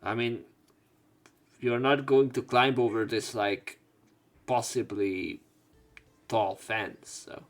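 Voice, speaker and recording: A man with a gravelly, fry-laden voice reads game text aloud, then breaks off into joking asides. His pitch sits in the middle, and he talks in a loose, casual way with fillers. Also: fairly even intonation, slow, pauses often. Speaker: let's play host.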